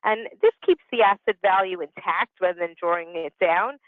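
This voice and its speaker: A woman's voice: fairly low pitch, moderate pace, no room sound, narrow, tinny audio. A woman in her sixties giving an explanatory, partly conversational presentation over a telephone conference line. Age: 60s. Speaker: business consultant and presenter. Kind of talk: prepared presentation with conversational asides.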